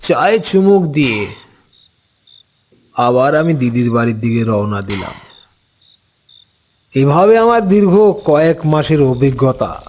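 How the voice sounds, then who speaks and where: A man narrating a scripted first-person story as an uncle, with unhurried, expressive dramatic delivery. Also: medium pitch.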